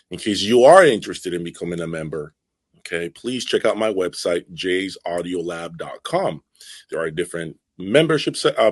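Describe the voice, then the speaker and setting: A man with a fairly low voice presents hi-fi commentary as a channel host. He speaks off the cuff and casually, leaning on key points, repeating them for emphasis and often checking in with the listener. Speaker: host of an audiophile video channel. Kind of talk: casual unscripted product commentary.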